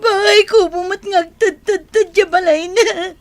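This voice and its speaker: A woman playing a distraught, complaining young wife in a radio drama, with a shrill, tearful voice and a Filipino accent. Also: fairly even intonation, measured pace, no echo. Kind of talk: Ilocano radio drama acting.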